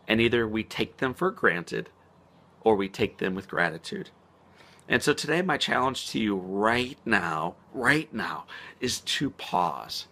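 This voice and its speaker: A man speaking as a motivational speaker in an inspirational vlog. He talks earnestly and slows down to stress key words. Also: lowish voice, bright, forceful tone. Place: outdoors on a spring morning.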